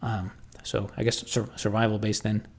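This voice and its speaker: A man with a Canadian accent chatting casually on a livestream, answering viewers and thinking aloud in halting, restarting sentences. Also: fairly low pitch, level tone, breathy edge.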